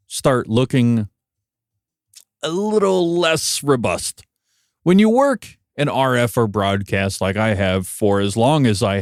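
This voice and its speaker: An American man giving conversational solo commentary as a podcast host, wry and emphatic, pausing for effect. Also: mid-range voice, no room sound, clean studio sound. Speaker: podcast host and broadcast engineer.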